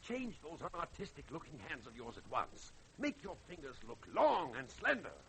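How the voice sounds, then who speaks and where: An actor playing an indignant, theatrical scold in an old-time radio drama, on a noisy vintage recording. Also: male voice, fairly high pitch, sing-song, moderate pace, gravelly, fairly bright tone, heavily acted. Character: indignant, scolding critic of a painter. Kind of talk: 1930s-40s radio drama acting.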